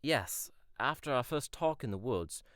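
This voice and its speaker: A man's voice: mid-range voice, expressive, a little slow, fairly bright tone, no room sound, studio quality. A young man playing an earnest, playful prince who speaks formally in a fairytale audio drama. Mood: candid. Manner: formal, old-fashioned wording.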